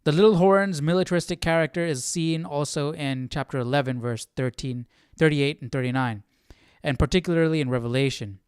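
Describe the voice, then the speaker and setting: Clear, higher-voiced man chatting casually on livestream. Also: brisk, dry recording, clean studio sound. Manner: informal, thinking aloud. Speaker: livestream Bible study host. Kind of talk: casual livestream chat and study commentary.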